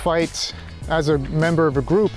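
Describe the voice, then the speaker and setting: Guy thinking out loud on a walk outside, kinda higher voice, halting, faint music behind. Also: fairly even intonation. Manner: reflective, halting, thinking aloud. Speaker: philosophy tutor vlogger. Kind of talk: casual rambling monologue while walking. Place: outdoors, walking.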